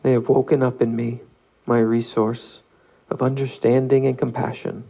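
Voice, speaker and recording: A man leading a guided meditation, reading aloud calmly in measured phrases with long pauses. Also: medium pitch, gravelly, husky, highs cut off. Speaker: meditation leader.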